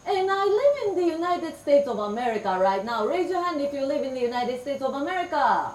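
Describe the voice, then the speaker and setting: A middle-aged woman from Japan, telling stories to children in a slow, clear way and getting them to repeat words. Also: sits high, sing-song. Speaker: storyteller. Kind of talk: interactive storytelling for children. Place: room with a live child audience. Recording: roomy, distant sound with faint background noise.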